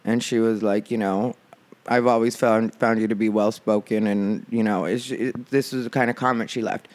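A man with a nasal voice hosting a casual podcast, chatty and quick to laugh, acting out what others said. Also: on the low side. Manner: chatty, laughs often, animated retelling. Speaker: podcast host. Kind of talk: casual chat.

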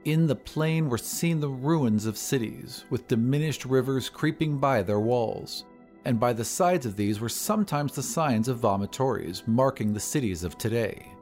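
A man's voice: medium pitch, normal speed, darkish tone. A man reading scripted narration as the narrator and host of an audio drama podcast. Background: music.

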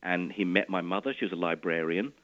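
A man's voice: low-pitched, steady tone, a little fast, a bit bright, lo-fi sound. A middle-aged man from Iraq, a physicist guest, explaining things in an interview and often restarting and repeating words.

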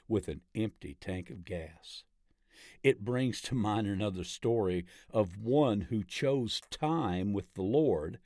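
A low-pitched man narrates a devotional text in a deliberate, measured manner. His pitch moves expressively as he leans on key phrases, and the pace stays very unhurried. The sound is dry and close, like an audiobook. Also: warm tone, no echo.

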